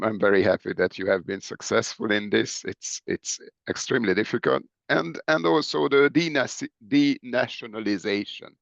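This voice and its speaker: A low-voiced man with a gravelly, creaky edge talks in halting bursts, doubling back on his words. His voice comes through a muffled video call and sounds dry and close, with no room around it. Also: dry recording. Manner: halting, repeats words often. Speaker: discussant at an online seminar. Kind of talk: spoken remarks to a speaker.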